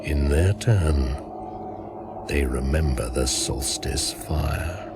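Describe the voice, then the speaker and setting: A man's voice: extremely low pitch, slow pace. An actor playing an ancient, brooding, scornful pagan shaman spirit in an incantatory radio drama monologue. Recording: 1980s radio broadcast, music underneath. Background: music.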